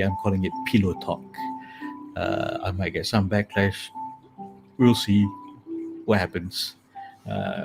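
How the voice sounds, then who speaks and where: A man with a calming, soothing voice, softly hosting a casual sleep meditation talk with many hesitant fillers. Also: low voice, creaky, low-quality recording. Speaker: host. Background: calming music.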